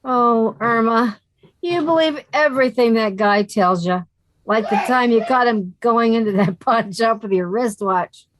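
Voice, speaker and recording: A woman's voice: a little high, a little slow, fairly bright tone, poor audio. A woman playing a sensible, wisecracking young woman with a dry, sardonic delivery in a radio comedy.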